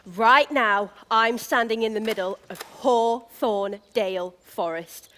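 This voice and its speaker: An actress playing a vain, excitable young prince in a stage pantomime, talking in a slangy, over-excited influencer manner. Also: female voice, fairly high pitch, slow pace, a little hiss or hum. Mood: showy, hyped. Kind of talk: theatrical pantomime stage acting.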